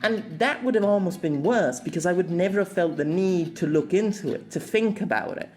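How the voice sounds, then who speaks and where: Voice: mid-range voice, fairly quick, darkish tone. A narrator reading a scripted audio essay in a reflective, articulate way.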